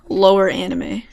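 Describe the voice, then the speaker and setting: A woman's voice: medium pitch, warm tone. A woman co-hosting a podcast, chatting casually with slang and the odd swear word. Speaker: anime and manga podcast co-host. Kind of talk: casual chat.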